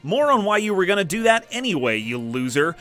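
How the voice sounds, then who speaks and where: A man's voice: high voice, very animated, a little fast, a bit bright, faint music behind. A man playing a snide, punchy TV news announcer reading a parody bulletin. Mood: brisk, snide.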